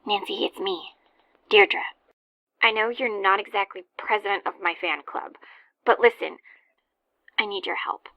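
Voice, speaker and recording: A woman's voice: mid-range voice, steady tone, measured pace, vocal fry, pauses often, pushed and bright, no room sound, exaggerated voice, rough recording. A woman playing an anxious young woman who urgently pleads for help in an adventure game. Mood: urgent pleading. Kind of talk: game voice acting.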